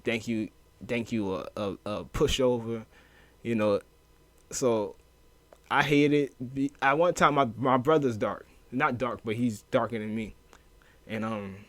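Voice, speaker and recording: A man talks loosely on a podcast, full of fillers and swearing, getting animated as he retells his past. His voice sits at a middle pitch. It is recorded dry and close, with no room echo. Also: a little slow. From US inner city, moved to Louisiana. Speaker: podcast guest. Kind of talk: casual chat.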